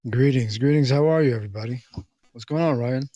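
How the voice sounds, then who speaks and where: Guy calling into a show, middle pitch, chatting casually, no room echo. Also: quick pace, dark tone, muffled recording. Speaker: caller. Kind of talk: casual chat.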